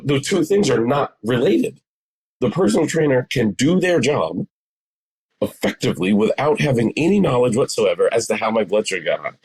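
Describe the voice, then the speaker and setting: A man's voice: medium pitch, a little mellow, slightly rough, poor audio. A man in his forties explaining things conversationally as an interview guest, emphatic and repeating phrases for stress. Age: 40s. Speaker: psychotherapist, podcast guest.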